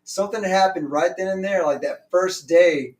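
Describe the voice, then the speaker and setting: A man's voice: high-pitched, normal speed. A man who sings in the band, telling a story in a chatty way and laughing often. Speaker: band vocalist. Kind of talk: casual chat. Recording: distant mic.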